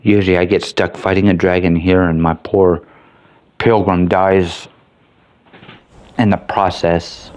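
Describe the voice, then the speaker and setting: A man's voice: low voice, level tone, unhurried, pauses often, darkish tone. A man giving casual, laid-back commentary as he plays a video game, half talking to himself. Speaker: gamer and video host. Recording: faint background noise.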